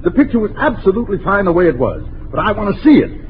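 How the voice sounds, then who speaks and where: Exasperated sputtering dad, old tinny radio. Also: male voice, sits high, very animated, fast talker. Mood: irritated. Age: middle-aged. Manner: exasperated, sputtering, long-suffering. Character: exasperated, grumbling middle-aged father of a teenager. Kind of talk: 1940s radio comedy acting. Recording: thin, noisy 1940s radio recording.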